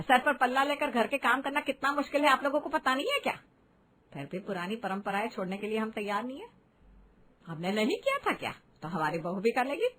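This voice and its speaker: A woman's voice: on the high side, fairly bright tone, low-quality recording. A woman voicing a progressive mother-in-law in a cartoon drama, lecturing others with defiant reproach. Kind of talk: animated family drama voice acting in Hindi.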